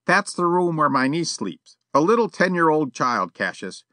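Man putting on a prim, high-voiced old gentleman. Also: sing-song, normal speed, no echo, clean studio sound. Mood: stiff. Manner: formal, precise, indignant. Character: prim gracious older gentleman, stiffly formal.

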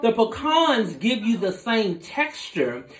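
Relaxed man teaching a home recipe. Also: sits high, takes it slowly, reverberant, faint music behind. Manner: relaxed, instructive, talks while working with his hands. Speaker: cooking show host. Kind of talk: home cooking demonstration. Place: home kitchen with dining room behind, indoors.

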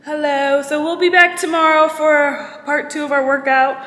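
A woman's voice: high voice, little pitch movement, normal speed, fairly bright tone. A young woman vlogging in an apartment gym, out of breath and chatty, poking fun at herself. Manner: chatty, self-mocking. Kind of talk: casual vlog chat. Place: large apartment-complex gym, indoors. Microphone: handheld camera at arm's length. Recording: echoey room, from off the mic.

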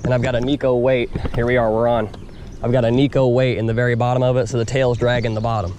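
A tired young man from Indiana, hosting a fishing vlog from a kayak on a river, talking excitedly to a body camera as he fishes and losing his voice a little. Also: medium pitch, fast. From Indiana, USA. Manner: excited, rambling, talks to camera while fishing. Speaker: fishing vlogger. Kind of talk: casual on-the-water vlog. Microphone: action camera on head or chest. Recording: outdoor action-cam audio with noise.

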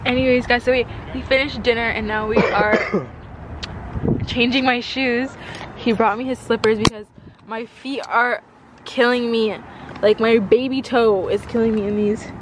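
A woman in her twenties talking to a handheld camera in a casual vlog, chatty and hoarse from losing her voice. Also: on the high side, steady tone, a little slow, noisy background. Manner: chatty, says "like" and "oh my gosh" often. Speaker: vlogger. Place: bedroom, then inside a car. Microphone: handheld camera at arm's length.

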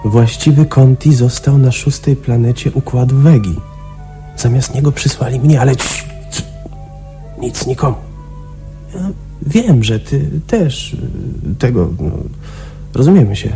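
An actor playing a delusional, paranoid patient who confides in a chummy, conspiratorial way in a radio play. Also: male voice, mid-range voice, measured pace, long pauses, warm tone, faint music behind, close to an everyday voice. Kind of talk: Polish radio play acting.

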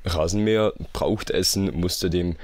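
A man's voice: deep voice, a little slow, a crowd in the background. A young man with a Swiss German accent giving casual, slangy reaction commentary, full of sarcasm and self-mockery. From Switzerland. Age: young adult. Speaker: YouTube gamer.

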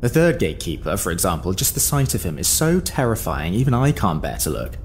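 An actor playing a powerful, officious gatekeeper in an audio drama, teasing and condescending with mock politeness. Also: male voice, low-pitched, sing-song, brisk, a little hiss or hum. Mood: taunting, boastful.

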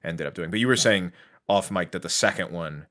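Male podcast host, deep register, casual explanatory delivery in conversation. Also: fairly quick, fairly bright tone.

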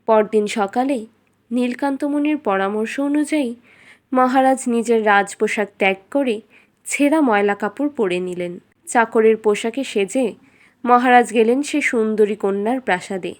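A woman's voice: fairly high pitch, normal speed. A woman reading a scripted fairy tale as the storyteller of a cartoon.

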